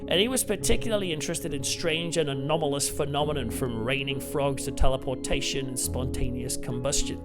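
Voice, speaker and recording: A man's voice: mid-range voice, fairly quick, hardly any pauses. A British man hosting a casual film review, talking to viewers in an informal, enthusiastic and emphatic way. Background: music.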